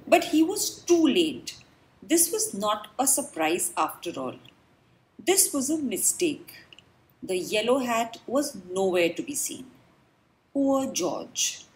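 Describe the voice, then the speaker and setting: A woman reading a children's storybook aloud, expressive and dramatic, with deliberate pauses. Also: mid-range voice, rough recording. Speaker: children's book reader. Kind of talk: read-aloud storybook narration.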